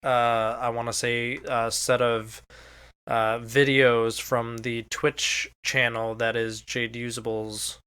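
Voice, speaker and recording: American male podcast co-host, smooth clean voice, casual storyteller who swears freely. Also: medium pitch, level tone, takes it slowly, pushed and bright. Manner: casual, profane.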